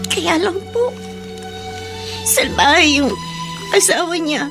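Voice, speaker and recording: Radio drama: earnest, troubled Filipina girl, piercingly high pitch, music underneath. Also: slow pace, heavily acted. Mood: explaining. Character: earnest, distressed young Filipina daughter. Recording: clear music bed behind voice.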